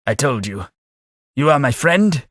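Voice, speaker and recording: A man voicing a dying ghoul gentleman in a game, speaking in a polite, formal, dry manner. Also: mid-range voice, very animated, measured pace, dry recording. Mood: courteous. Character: dying ghoul gentleman companion, courteous and wry. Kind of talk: game voice acting.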